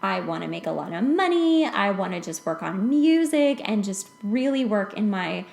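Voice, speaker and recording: A woman's voice: medium pitch, very animated, moderate pace, gravelly, darkish tone. Chatty American woman in a roomy space. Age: late 20s. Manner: chatty, self-deprecating. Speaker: podcast host and author. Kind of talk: casual personal storytelling in conversation.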